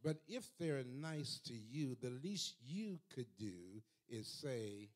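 Older preacher guy on a handheld mic in a church, slow deliberate delivery, gets fired up and repeats himself. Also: mid-range voice, animated. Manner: slow and measured, building to fervent rising repetition. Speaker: pastor. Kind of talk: sermon. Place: church sanctuary. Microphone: handheld microphone.

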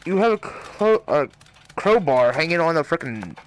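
A man's voice: a little high, big pitch swings, normal speed. A man giving casual let's-play commentary, puzzled and often muttering to himself. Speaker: video game streamer, host. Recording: faint noise, keyboard and mouse clicks.

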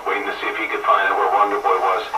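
A man's voice: fairly low pitch, completely flat delivery, very fast. A very noisy, echoey radio transmission of an American man in casual CB chat. He sounds distant, as if heard from a speaker across the room. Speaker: radio hobbyist on an on-air roundup. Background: radio static and room ambience.

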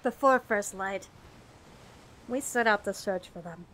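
A woman's voice: medium pitch, a little slow, a bit bright, slight background noise, lightly acted. A woman playing a resolute, decisive female leader of a ship's crew in an audio drama.

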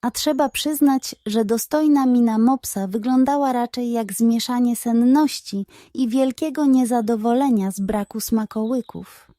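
Unhurried woman narrating a children's story. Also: a little high, dark tone, no echo, clean studio sound. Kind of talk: scripted children's audiobook narration.

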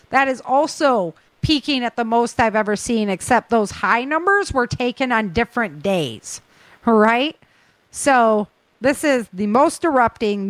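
A woman with a slightly high voice hosts a podcast in an informal way. She runs through news as casual chat, addressing her listeners directly, and the sound is fairly dry and close. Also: no room sound. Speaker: podcast host.

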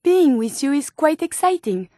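A woman's voice: high voice, sing-song, moderate pace, warm tone, dry recording, studio quality. A woman voicing an earnest, polite female robot scientist with a French accent and formal, precise phrasing, as game companion dialogue. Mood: pleased, earnest.

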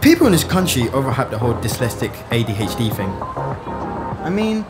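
Excitable London schoolboy, acted by a man. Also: medium pitch, normal speed, over music, close to an everyday voice. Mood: chatty, self-deprecating. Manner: animated, colloquial. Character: excitable, cheeky Nigerian-born London schoolboy in his teens. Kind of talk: slangy motion comic voice acting.

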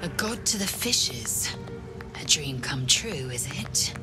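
A woman's voice: low-pitched, sing-song, takes it slowly, over loud music, heavily acted, poor audio. An actress playing a stern, scornful alien warrior woman in a fantasy game, clipped and contemptuous. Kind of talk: fantasy video game acting.

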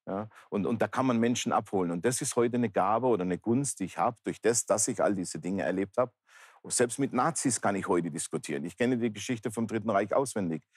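A man's voice: lowish voice, a little fast, slightly rough, no room sound, studio quality. A man in his fifties, speaking earnestly and plainly as he gives personal testimony in an interview. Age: 50s. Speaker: talk show guest, pastor. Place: large indoor bar hall, seated interview.

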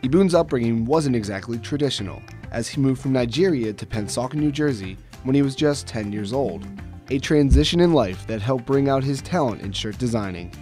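A man's voice: medium pitch, animated, dark tone, faint music behind. A man narrating a scripted sports news feature as the reporter. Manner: measured voice-over reading.

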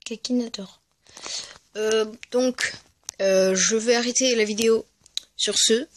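A gaming video creator giving casual, hesitant and rambling commentary over gameplay, speaking in a medium-high voice. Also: fairly even intonation, slow, a little hiss or hum. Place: at home, voice-over on mobile gameplay recording.

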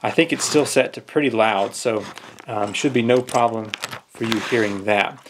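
A man's voice: fairly low pitch, moderate pace, noisy background. An American man in his thirties giving a casual, hands-on product review.

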